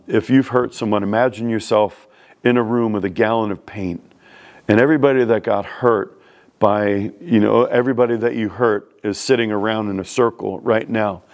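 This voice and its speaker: A man's voice: lowish voice, darkish tone. Blunt New York preacher man. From New York City. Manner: plain-spoken, blunt, conversational preaching. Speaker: pastor. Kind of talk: sermon.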